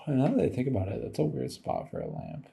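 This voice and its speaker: A man's voice: mid-range voice, wildly swooping pitch, brisk, gravelly, mellow, slightly rough. A tired man giving rambling, casual commentary over game footage, musing aloud as he goes and swearing casually.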